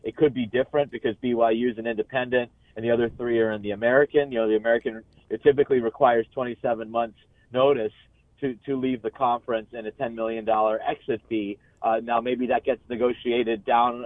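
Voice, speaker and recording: A man's voice: mid-range voice, little pitch movement, no echo. Man on a muffled, band-limited call-in line. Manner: halting, repeats words, frequent "you know". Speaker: sports journalist, radio guest. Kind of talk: sports analysis in a live radio interview. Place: remote call into a radio show.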